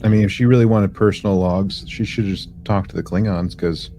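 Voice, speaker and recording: Low, mellow man in casual discussion. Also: fairly even intonation, fairly quick, slight background noise. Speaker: podcast co-host.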